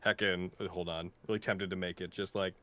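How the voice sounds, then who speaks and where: A man's voice: deep voice, little pitch movement, a little fast, a crowd in the background. A man in a casual, joking livestream chat with castmates, tossing in mild mock swears. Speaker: podcast voice actor and creator.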